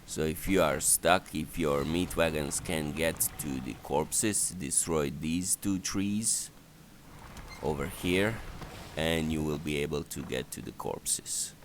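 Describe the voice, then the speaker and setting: A man's voice: rumbling low, takes it slowly, slight background noise. A man giving an unscripted strategy game walkthrough in a hesitant, step-by-step instructional manner. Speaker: gameplay guide narrator.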